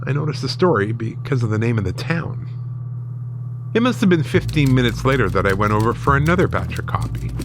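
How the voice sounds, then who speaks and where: A man narrating a first-person horror story in a dramatic storytelling style. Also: medium pitch. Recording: music bed under the voice. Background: background music.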